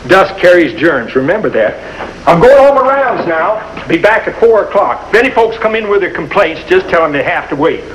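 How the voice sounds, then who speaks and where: An actor playing a gruff but kindly small-town American doctor, brusque and folksy like an uncle, in a 1950s television drama. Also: male voice, high voice, sing-song, moderate pace. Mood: gruffly kind. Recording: noisy old 1950s television soundtrack.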